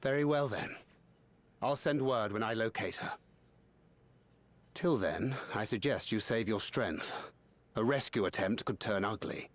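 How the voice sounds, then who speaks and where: An actor playing a composed, pragmatic adventurer scout in a video game, with a measured, serious delivery. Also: male voice, lowish voice, lightly acted. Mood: resolute, cautionary. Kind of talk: video game cutscene acting.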